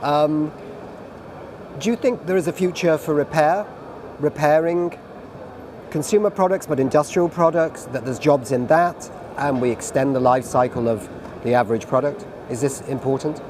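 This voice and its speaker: A man's voice: fairly high pitch, moderate pace, noisy background. Chatty British man moderating a panel. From Britain. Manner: chatty, asks long rambling questions. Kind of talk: online panel discussion. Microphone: video call.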